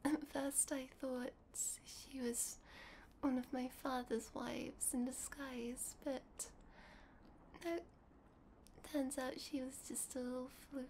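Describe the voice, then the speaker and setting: A woman playing a sweet, shy young vampire girlfriend in an intimate ASMR roleplay, whispering softly and hesitantly. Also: high voice, flat delivery, slow, a little hiss or hum. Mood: tender, amused. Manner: soft, breathy whispering, hesitant and giggly. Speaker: roleplay voice performer.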